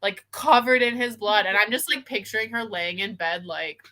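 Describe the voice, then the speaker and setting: A woman with a bright, forward voice co-hosts an online book chat. She talks informally and loosely, with a giggly streak, as if on a video call with a friend. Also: medium pitch, moderate pace. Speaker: co-host of book discussion live show. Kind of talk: casual livestream book chat. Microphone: video call over internet.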